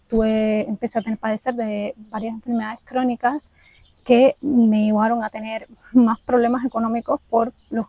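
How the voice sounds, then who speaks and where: High-voiced Cuban woman telling her story. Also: no echo, phone-quality sound. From Cuba. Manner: candid, reflective, speaks directly to viewers. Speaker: vlogger. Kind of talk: personal vlog storytelling.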